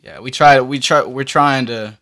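A young man chatting casually as a musician guest, in a loose, halting, slangy way. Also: mid-range voice, a bit bright. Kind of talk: casual chat.

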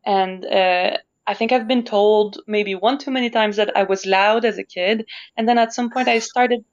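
A woman's voice: medium pitch, fairly even intonation, normal speed. Woman from Canada, opera singer guest, thoughtful and searching, muffled lo-fi sound. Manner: thoughtful, searching for words, laughs easily. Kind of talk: reflective conversational interview. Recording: dull lo-fi sound.

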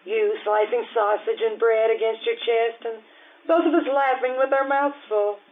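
Woman playing passionate peasant cook, high voice, heartfelt, old radio romance acting, tinny narrow-band sound. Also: steady tone, moderate pace, natural voice. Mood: wistful, resigned. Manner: pleading, then tender and resigned. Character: Anna, earthy, passionate cook woman pleading with her lover. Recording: thin, phone-like radio drama sound.

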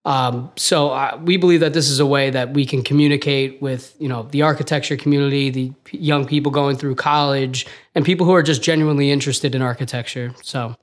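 A man's voice: medium pitch, fairly quick, few pauses. Young man, laid-back podcast co-host. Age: young adult. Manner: relaxed, many "you know" and "uh" fillers. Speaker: podcast co-host, junior architect. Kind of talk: casual podcast chat. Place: office.

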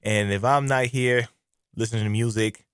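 A man's voice: lowish voice, steady tone, normal speed, smooth, no echo. A man hosting a casual radio talk show from a studio, playful and joking, cheering his guest on.

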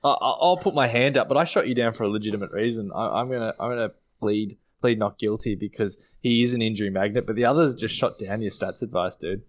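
A man's voice: lowish voice, quick pace, hardly any pauses. A man chatting casually as a panellist on a sports podcast.

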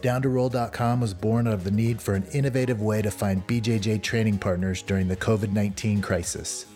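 Guy reading podcast sponsor spots, low mellow voice, faint music behind. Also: brisk. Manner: steady scripted delivery. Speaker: podcast host. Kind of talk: sponsor ad read.

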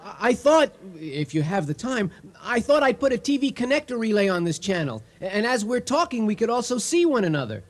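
A man's voice: high voice, big pitch swings, a little fast, hardly any pauses. A man playing an earnest, polite earthling making first contact in a comedic radio drama, with courteous, hesitant delivery.

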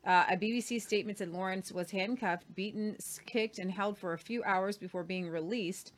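A woman's voice: mid-range voice, normal speed. A woman hosting a news talk show, reading articles aloud with commentary and frequent "uh" fillers. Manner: reads steadily with frequent "uh" fillers.

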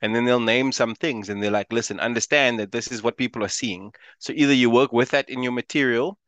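A man's voice: mid-range voice, fairly even intonation, brisk, fairly bright tone, dry recording. A man from South Africa with a South African accent, a stand-up comedian chatting casually and laughing often as an interview guest.

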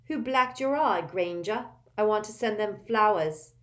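A spoiled, arrogant teenage boy from a storybook, sneering and snarling loudly. His voice is pitched up and pushed hard, as a woman reader plays him. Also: unhurried, close to an everyday voice.

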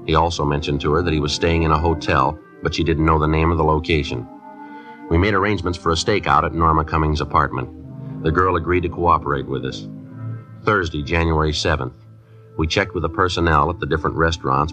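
A police detective in a 1950s radio crime drama. His voice is extremely deep, and he speaks in short, matter-of-fact sentences. Music plays prominently behind his deadpan delivery. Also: fairly quick. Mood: flat, matter-of-fact. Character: terse, businesslike male police detective narrating his case. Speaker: narrator and lead detective.

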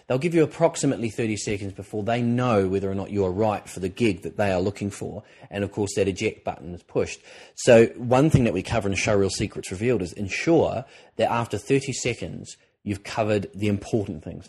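A man co-hosting a podcast with a croaky voice, talking in an earnest, encouraging way and rambling with restarts. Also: on the low side, a little mellow. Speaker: podcast co-host, presenter coach. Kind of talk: casual podcast talk.

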